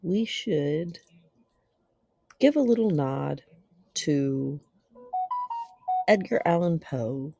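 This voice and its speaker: A host giving a theatrical scripted narration in a solemn, deliberate and dramatic manner. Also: medium pitch, lively intonation, vocal fry, warm tone, slightly rough, no echo, soft background music. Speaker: video host and storyteller.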